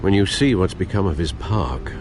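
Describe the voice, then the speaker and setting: Game butler: older, British, prim and cautious, lowish voice, expressive intonation. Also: male voice, background noise. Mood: concerned. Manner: formal, dry, measured. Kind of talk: video game voice acting.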